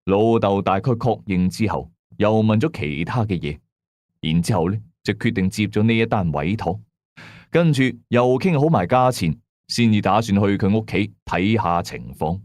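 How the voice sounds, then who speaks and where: A man with a Hong Kong Cantonese accent tells a horror story in the first person, in a suspenseful, colloquial, expressive way. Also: fairly low pitch, moderate pace, no echo, studio quality. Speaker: ghost story narrator. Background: music behind the voice.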